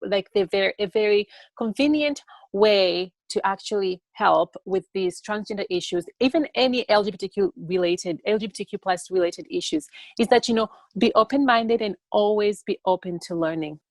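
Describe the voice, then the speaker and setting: A young woman from Tanzania answering interview questions on a video call in an earnest, halting way that often repeats words. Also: mid-range voice, no room sound. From born in Tanzania. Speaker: interview guest, TikTok educator.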